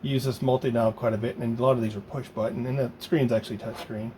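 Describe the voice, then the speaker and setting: A man talking casually and haltingly, thinking aloud as he works through a hands-on project. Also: mid-range voice, steady tone, low-quality recording. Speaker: hobbyist maker demonstrating a DIY project. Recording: loud background noise.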